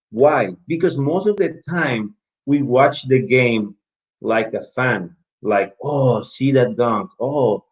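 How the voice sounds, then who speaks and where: A man's voice: medium pitch, a little mellow, dull audio. A man with a Latin American Spanish accent giving an informal talk to referees over a video call, with many restarts, asking and answering his own questions. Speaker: basketball referee speaker in an online session.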